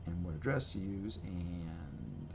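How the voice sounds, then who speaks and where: A man's voice: sits low, expressive, slow pace, vocal fry, very noisy. A man talking casually to his camera at home, rambling and correcting himself as he shows things. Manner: rambling, self-correcting, chatty. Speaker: video creator. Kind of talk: casual rambling vlog talk. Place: indoors at home. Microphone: camera mic.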